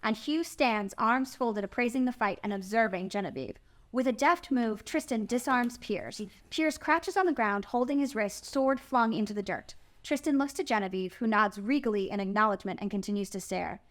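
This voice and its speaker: A woman's voice: a little high, normal speed. A woman narrating stage directions from a script at a table read.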